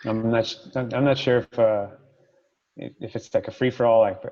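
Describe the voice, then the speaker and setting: A man's voice: medium pitch, steady tone, normal speed, a little mellow. Informal man on a dull online call. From born in Ukraine, grew up in Colorado from age nine. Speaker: webinar participant, study abroad company owner. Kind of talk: casual introduction in a discussion.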